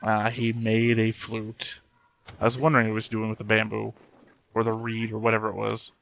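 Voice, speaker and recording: A man's voice: on the low side, monotone, fairly quick, creaky, fairly bright tone. A man giving casual reaction commentary on a show, musing and thinking aloud as he watches. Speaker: host of an anime reaction channel.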